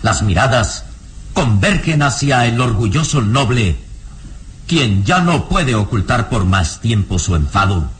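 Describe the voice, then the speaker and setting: A man's voice: medium pitch, very animated, a little slow, slight background noise. A man narrating a radio serial in a dramatic, scripted style. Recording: radio serial, loud music underneath.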